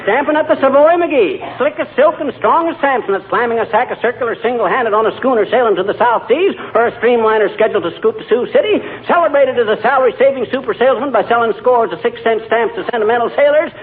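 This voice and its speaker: An actor playing a blustering, boastful small-town husband in 1940s radio comedy, with folksy slang and quick patter. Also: male voice, extremely high pitch. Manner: folksy slang, malapropisms, tongue-twisting patter. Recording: tinny noisy old radio broadcast, studio audience.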